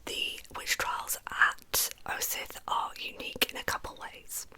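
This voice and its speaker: A British woman whispers close to silence, her voice all breath and no tone. The sound is very bright and airy, with crisp sibilant edges. She hosts a true crime ASMR series and talks informally, as if chatting through her story. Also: measured pace.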